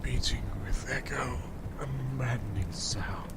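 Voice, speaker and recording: A man's voice: mid-range voice, noisy background, lightly acted. A man playing a wounded, maddened man who chants eerie riddles in a horror audio drama. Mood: delirious. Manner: slow, chanting, riddling delivery.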